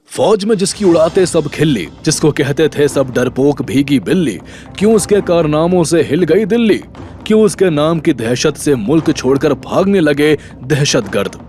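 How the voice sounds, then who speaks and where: A man's voice: mid-range voice, a little mellow. A man narrating a scripted audio drama in a dramatic storytelling style.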